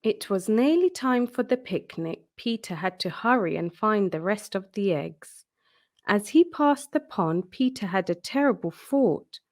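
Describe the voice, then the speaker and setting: Female children's read-aloud narrator: unhurried, expressive, with wide swings in pitch. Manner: expressive, deliberate storytelling. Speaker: storyteller.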